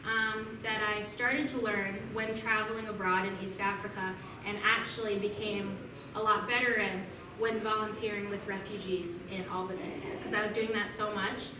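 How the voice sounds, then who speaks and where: A woman's voice: medium pitch, level tone, normal speed. A young woman from Vermont giving a casual talk and answering questions, chatty and upbeat, with frequent ums. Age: young adult. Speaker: guest speaker at a club meeting. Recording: echoey room from a distance, noisy.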